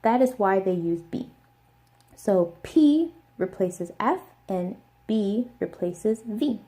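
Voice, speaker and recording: Mellow-voiced American woman teaching. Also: medium pitch, lively intonation. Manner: explains step by step, repeats words slowly. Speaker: language teacher. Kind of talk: casual video lesson.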